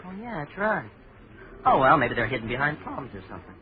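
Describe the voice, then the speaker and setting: A man's voice: mid-range voice, very animated, fairly quick, slight background noise, lightly acted. An actor playing a brash, jolly young American man on the town, cocky and slangy, in 1930s radio drama style. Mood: gleeful. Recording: thin, tinny old radio recording.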